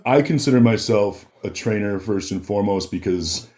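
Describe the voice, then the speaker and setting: A man's voice: lowish voice, normal speed, a little mellow. A man chatting casually as a podcast guest, often breaking off and restarting his thoughts. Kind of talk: casual chat.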